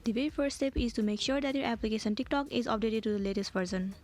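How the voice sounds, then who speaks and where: Lady doing a how-to voiceover, kinda high voice, talks quick, step by step instructions. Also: darkish tone. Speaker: tutorial host. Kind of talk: scripted how-to tutorial narration.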